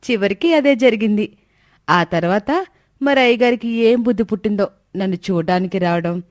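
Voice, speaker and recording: A woman's voice: medium pitch, darkish tone, dry recording, close to an everyday voice. A woman playing a devoted, affectionate yet firm young wife in a story, speaking expressively. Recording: dry studio voice track.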